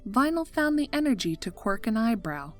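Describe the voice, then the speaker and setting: A woman's voice: fairly high pitch, big pitch swings, moderate pace. A woman reading scripted story narration for a fan fiction audio drama. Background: music.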